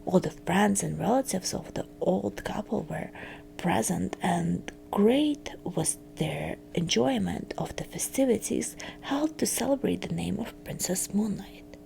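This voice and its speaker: Whispering woman with heavy vocal fry. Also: medium pitch, animated. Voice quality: breathy whisper with crackly fry. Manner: slow, hushed, gently paused phrasing. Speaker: storyteller. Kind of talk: whispered ASMR fairytale reading.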